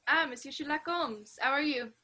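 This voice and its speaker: A woman playing a smoothly polite, sly male French police inspector, courteous and formal, in a radio play. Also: high-pitched, moderate pace, sharp tone, a little hiss or hum. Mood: knowing. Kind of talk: 1940s radio play acting.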